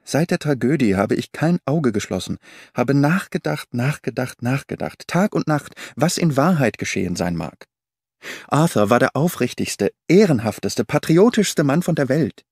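A man's voice: mid-range voice, big pitch swings, normal speed, darkish tone, breathy edge, no echo. Grieving young fiancée, earnest and pleading, read by a man, dry close sound. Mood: insistent. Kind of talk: audiobook character reading.

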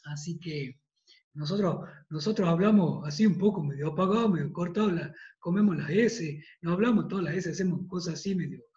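A man in his thirties with a Tucumán Argentine accent, a priest giving an emphatic devotional talk over a video call, repeating phrases for weight and clearing a tired throat. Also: sits high, brisk, darkish tone. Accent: Tucumán Argentine Spanish. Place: study with bookshelves, indoors. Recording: roomy, dull video-call audio.

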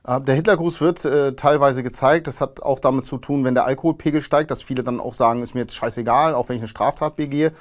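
A man in his thirties, a guest in a studio interview, answering in a calm, measured way with frequent hesitations. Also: mid-range voice. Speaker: interview guest, former neo-Nazi. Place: studio with grey backdrop.

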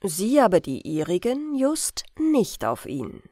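A woman reading an old-fashioned family tale as an audiobook narrator, in carefully phrased, expressive storytelling. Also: mid-range voice, takes it slowly, no room sound, clean studio sound. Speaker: audiobook reader.

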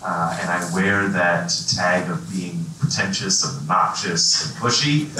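A man's voice: deep voice, a little slow, pushed and bright, a little hiss or hum. A man from the East Coast, a nonprofit director, introducing himself on a panel with emphatic, self-mocking remarks. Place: large conference hall with audience. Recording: echoey hall from far off.

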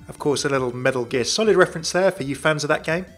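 Higher-voiced young man narrating over music. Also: fast talker. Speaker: video host and narrator. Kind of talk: scripted fan video narration.

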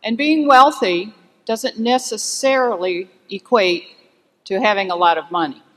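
Audience member asking a question, careful slow phrasing, echoey big hall. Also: mid-range voice, pushed and bright.

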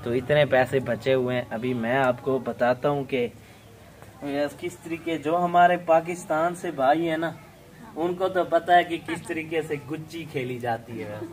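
A man's voice: on the high side, steady tone, moderate pace, slight background noise. A young man from Pakistan hosting a casual village vlog outdoors, chatty and laughing often as he talks to his viewers. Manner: chatty, laughs often, keeps saying "okay". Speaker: vlog host.

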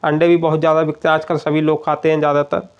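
A man's voice: fairly high pitch, level tone, quick pace, clear, resonant tone, lo-fi sound. A man narrating a voice-over, going item by item through a list and often addressing viewers as friends. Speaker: grocery shop advice presenter. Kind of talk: voice-over listing shop items.

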